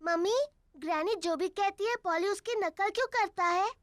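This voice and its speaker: A performer voicing a cheerful, bossy, excitable little girl pig in a children's cartoon dub, eager and exclaiming. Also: very high-pitched, normal speed, no room sound, studio quality. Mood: bossy, curious.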